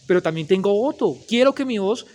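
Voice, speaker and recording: Interviewer guy, pretty high voice, long winding questions. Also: expressive, brisk, a little mellow. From Colombia.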